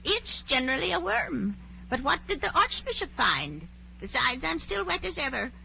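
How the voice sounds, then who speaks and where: A woman's voice: high voice, sing-song, normal speed, gravelly, pushed and bright, slight background noise, heavily acted, poor audio. A woman playing a haughty, argumentative lory bird in a radio play, pompous and snippy. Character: haughty, argumentative lory bird, self-important know-it-all. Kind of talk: radio play acting of a children's story.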